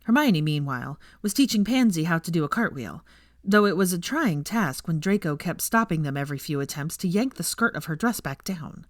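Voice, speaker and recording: A woman narrator reads fiction aloud. Her voice sits low and moves expressively through each sentence. The recording is close and dry, with no room sound. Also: expressive, brisk, no room sound. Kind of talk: expressive scripted story narration.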